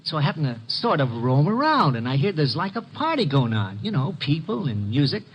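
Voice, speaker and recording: An actor playing a nervous, stammering sneak thief with slangy, uneducated speech in an old radio detective drama. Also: male voice, a little high, big pitch swings, a little fast, slight background noise, rough recording. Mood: nervous, evasive. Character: nervous, very tall small-time sneak thief. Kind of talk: 1940s-50s radio detective drama acting.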